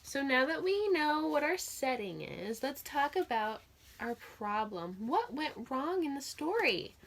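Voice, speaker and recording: A woman's voice: high voice, sing-song, unhurried. A woman teaching young children through a read-aloud, telling the story expressively and putting simple, encouraging questions to her listeners.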